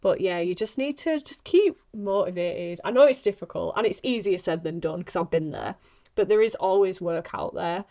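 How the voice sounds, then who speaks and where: A woman's voice: on the low side, normal speed, warm tone. A woman actress in a casual podcast chat, earnest and encouraging, often repeating herself for emphasis. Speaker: actress guest.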